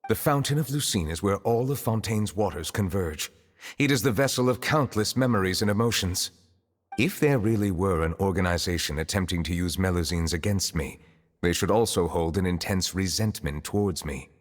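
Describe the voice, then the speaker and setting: An actor playing a solemn, reserved man of high office in a video game, speaking in a formal, measured, reflective way. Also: in a large hall. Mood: composed. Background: music.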